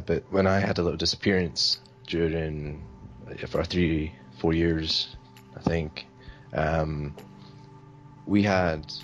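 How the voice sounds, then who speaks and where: A man's voice: low voice, fairly bright tone. A man from Sweden answering interview questions in a hesitant, rambling way, full of fillers. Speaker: interview guest.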